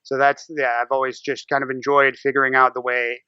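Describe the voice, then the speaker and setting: Bright, clear-voiced man in casual podcast chat. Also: mid-range voice, little pitch movement, brisk, no echo, lo-fi sound. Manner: playful, rambling storyteller. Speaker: podcast guest; podcaster and amateur voice actor.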